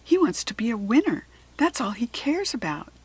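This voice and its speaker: A woman's voice: a little high, very animated, moderate pace, slightly rough, rough recording. A woman voicing an earnest, determined young girl in a children's storybook, firm and eager. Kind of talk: children's storybook character reading.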